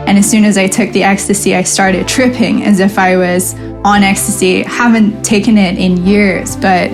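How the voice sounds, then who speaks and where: A woman's voice: medium pitch, steady tone, normal speed, music in the background. A young adult woman, a lucid dream researcher and coach, chatting casually as a podcast guest and acting out her own thoughts as she tells a story.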